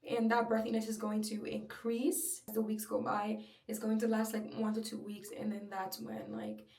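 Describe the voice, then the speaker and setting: A young woman with a slightly breathy voice, chatting openly and personally in a casual home vlog. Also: mid-range voice, moderate pace, a little mellow, distant mic. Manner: chatty, filler-heavy, open and personal. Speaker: vlogger. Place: at home, in her room. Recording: roomy echo, a little off-mic.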